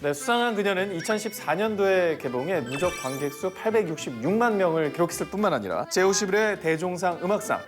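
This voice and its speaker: A man co-hosting a film show as critic, giving informative commentary in a steady reading style. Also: high-pitched, quick pace, hardly any pauses. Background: background music.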